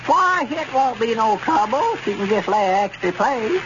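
An actor playing a simple, good-natured old country farmer with a rural Arkansas accent in a 1930s radio comedy. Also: male voice, very high-pitched, big pitch swings, normal speed. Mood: homely. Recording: noisy, muffled 1930s radio recording.

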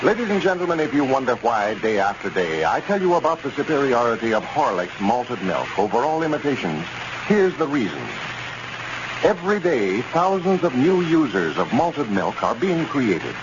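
A man's voice: mid-range voice, big pitch swings, normal speed. An American man announcing in 1930s radio style, reading scripted sales copy and narration emphatically. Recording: muffled, noisy 1930s radio recording.

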